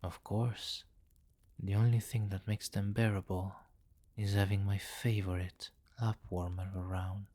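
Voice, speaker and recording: A deep, slow-speaking vampire king, acted by a man for an ASMR roleplay. He is commanding and possessive, addressing the listener intimately with teasing condescension. The recording is close and very dry. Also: little pitch movement, warm tone, no room sound, close to an everyday voice. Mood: coaxing, commanding.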